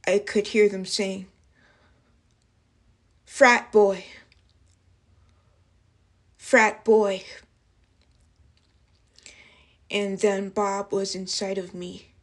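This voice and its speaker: A woman playing a traumatized young waitress in a dramatic monologue, speaking haltingly in broken phrases. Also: mid-range voice, lightly acted. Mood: distressed, intense. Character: traumatized young working-class waitress recounting an assault. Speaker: actress performing a film monologue.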